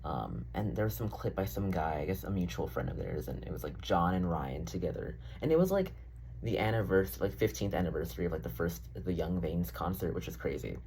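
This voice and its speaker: A man's voice: deep voice, animated, brisk, creaky, darkish tone, a little hiss or hum, poor audio. A young man rambling casually in a diary-style vlog, full of fillers, swearing and self-deprecating asides. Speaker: vlogger.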